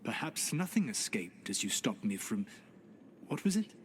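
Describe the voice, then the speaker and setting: An actor playing an ancient trickster god in a video game, speaking in a measured, formal, sardonic way with wry condescension. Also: male voice, fairly low pitch, big pitch swings, slight background noise. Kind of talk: video game cutscene acting.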